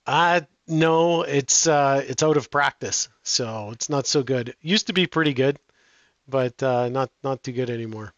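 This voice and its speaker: A middle-aged man answering interview questions in a relaxed, halting way, with many restarts and fillers. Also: mid-range voice, no room sound, highs cut off. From Canada. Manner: hesitant, frequent restarts and fillers. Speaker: guest.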